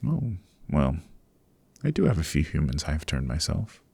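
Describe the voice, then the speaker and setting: A man playing a courteous, chatty old vampire in an ASMR roleplay, speaking in genteel, old-fashioned phrases with a deep, gravelly, husky voice. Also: very animated, unhurried, mellow, dry recording, exaggerated voice. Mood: amused, chatty. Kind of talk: ASMR roleplay, interview monologue. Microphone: close microphone, dry.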